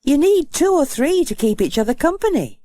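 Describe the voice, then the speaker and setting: A woman's voice: high voice, sing-song, moderate pace, warm tone, no room sound. A woman voicing a practical, knowing female character in a children's storybook, explaining things confidently. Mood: keen.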